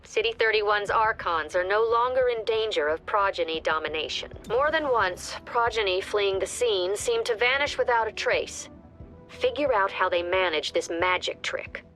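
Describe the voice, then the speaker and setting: A woman playing an authoritative squad commander, giving measured, clipped briefings in a video game. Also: medium pitch, lively intonation, fairly bright tone, lightly acted. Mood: composed, authoritative. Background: prominent music.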